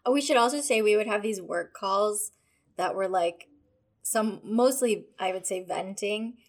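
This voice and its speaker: Cohost lady, kinda self-conscious, slow careful chat, higher voice. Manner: measured, self-conscious, unhurried. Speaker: podcast co-host. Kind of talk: casual chat.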